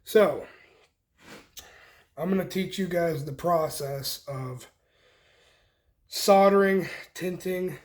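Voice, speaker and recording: Guy doing a diy how-to, kinda higher voice, really unhurried, explains step by step in little bits while he works. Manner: informal, step-by-step explaining in short pieces, often while working. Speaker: host of a DIY homestead channel, demonstrating wiring. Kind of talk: casual hands-on tutorial.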